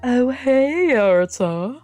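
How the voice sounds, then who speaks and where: A woman voicing a friendly, chatty, caring friend with a lifted, expressive delivery. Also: sits high, takes it slowly, no echo, soft background music, pitched above the performer's own voice. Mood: breezy, concerned.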